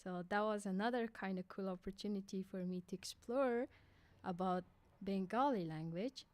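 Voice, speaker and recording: Young afghan woman on a radio show, halting, lots of "like" and "kinda", dry close sound. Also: mid-range voice, darkish tone, no echo. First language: Hazaragi. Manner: hesitant, many fillers like "like" and "kinda". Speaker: guest. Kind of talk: casual interview answers. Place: radio studio by a window. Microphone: boom mic with headphones.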